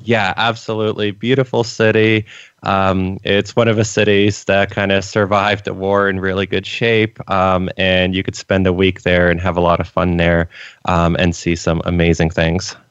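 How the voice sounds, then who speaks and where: A man with a North American accent, born in Poland and raised in Canada, answering interview questions in a relaxed way. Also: low-pitched, moderate pace, clear, resonant tone, no room sound. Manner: relaxed, laughs now and then, fillers. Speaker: guest, freelancing coach. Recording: dry, dull lo-fi audio.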